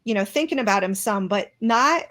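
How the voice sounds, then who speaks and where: A woman's voice: medium pitch, expressive, fairly quick, a bit bright. A woman guest in an interview, speaking earnestly and reflectively with many restarts. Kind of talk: interview conversation.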